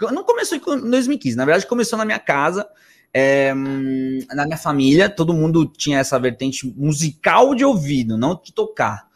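A man from Argentina speaks in a somewhat high voice with a Brazilian Portuguese accent. His delivery is casual and slangy, wandering through stories as he cuts himself off and picks up again. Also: sing-song, moderate pace. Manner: rambling, slangy, self-interrupting. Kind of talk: casual conversational storytelling.

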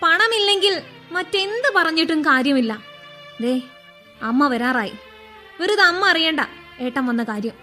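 An actress playing a cold, defensive young bride-to-be in a radio drama, a reproachful and pragmatic younger sister. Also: female voice, very high voice, expressive, a little slow, pauses often, pushed and bright, faint music behind, full character voice. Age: young adult.

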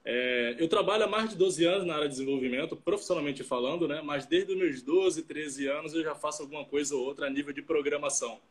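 A man in his late twenties from Salvador, Brazil, talks loosely and keeps tossing in little tag questions. His voice sits on the higher side, and he is picked up through a computer mic on a video call. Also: fast, few pauses. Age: 20s. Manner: relaxed, chatty, many fillers and tag questions. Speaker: startup CTO co-hosting a live stream. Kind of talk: casual live-stream chat.

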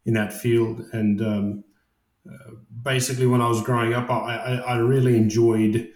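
A man's voice: mid-range voice, flat delivery, normal speed, darkish tone, reverberant. A man in his late thirties from Iran, raised in Australia, talking as a guest in a casual interview and often hesitating with fillers and restarts. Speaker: guest, construction project manager.

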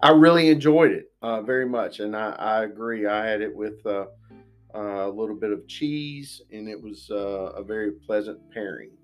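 A man's voice: on the low side, normal speed, faint music behind. A man hosting an interview, talking politely to a guest. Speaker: host.

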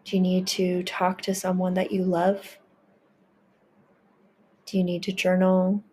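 A woman leading a guided healing meditation, speaking in a gentle, reassuring and affirming way. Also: lowish voice, completely flat delivery, a little slow, slight background noise.

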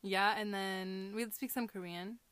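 A woman's voice: mid-range voice, fairly even intonation, a little slow, fairly bright tone, smooth, no echo. A young woman from Southern California chatting casually with her sisters, rambling and laughing often as she tells stories. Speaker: podcast co-host. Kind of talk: casual chat among sisters.

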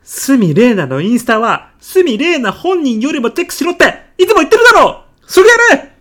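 A man's voice: very high-pitched, big pitch swings, fairly quick, fairly bright tone, exaggerated voice. A man playing a polite, talkative, self-important dad in a comedic audio drama. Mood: scolding, furious. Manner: polite, talkative, matter-of-factly proud. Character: earnest, self-important stay-at-home-working dad, celebrity gossip writer.

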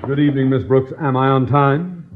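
A man's voice: medium pitch, fairly quick, noisy background, lightly acted. An actor playing a pompous, blustering middle-aged man posing as a husband, declaiming theatrically in a radio sitcom. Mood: pompous, gushing. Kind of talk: 1950s radio sitcom acting. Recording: dull old radio recording, audience behind.

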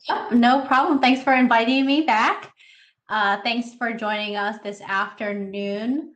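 A woman's voice: on the high side, a little slow, fairly bright tone, muffled recording. A woman in her thirties, a scientist, giving a friendly, explanatory online presentation to students over a video call. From Taiwan, raised in the United States. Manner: friendly, explanatory, with filler uhs. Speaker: scientist giving a talk to kids.

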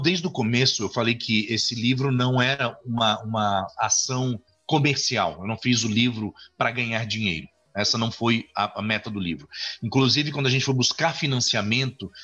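Brazilian male director, interview guest, rambling delivery with fillers, husky tone, muffled recording. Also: medium pitch, sharp tone. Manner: rambling with many restarts and fillers. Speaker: film director and author. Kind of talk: interview conversation. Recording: dull lo-fi audio.

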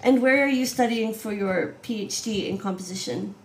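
A woman's voice: mid-range voice, normal speed, vocal fry, reverberant, low-quality recording. A woman hosting a casual video-call interview, chatty with lots of "um"s and laughs. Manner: chatty, warm laughs, many "um"s. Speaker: host, artistic director.

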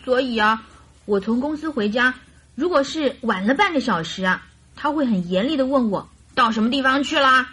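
An actress playing a chatty, naive and carefree young bride in a radio drama, with girlish, animated complaining and coaxing. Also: high-pitched, moderate pace, slightly rough, exaggerated voice. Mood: exasperated, chatty.

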